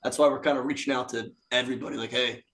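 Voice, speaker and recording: A raspy-voiced man from a band, joking and swearing in casual podcast chat, often acting out what people said. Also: mid-range voice, steady tone, very fast, a bit bright. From Philadelphia area. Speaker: band member guest.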